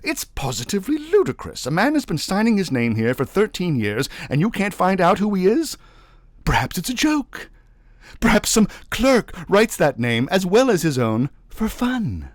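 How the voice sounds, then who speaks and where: A man playing a pompous, exasperated high official in a storybook reading, loud, indignant and imperious. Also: huge pitch swings, moderate pace, no room sound, clean studio sound.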